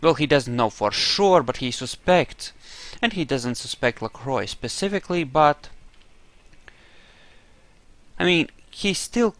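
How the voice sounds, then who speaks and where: A man's voice: a little high, expressive, normal speed, fairly bright tone, no echo. A man giving casual roleplay playthrough commentary, musing hesitantly as he thinks aloud about what his character believes. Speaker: gaming video host.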